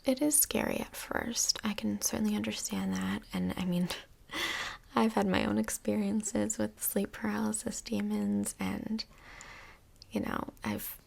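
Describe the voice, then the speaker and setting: A woman playing a calm, chatty couples counsellor in a soft-spoken ASMR role play, with a breathy, whispery voice and gentle, measured, reflective delivery. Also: medium pitch, exaggerated voice. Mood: calm, reassuring, then amused, reminiscing. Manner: gentle, measured therapist talk with reflective pauses.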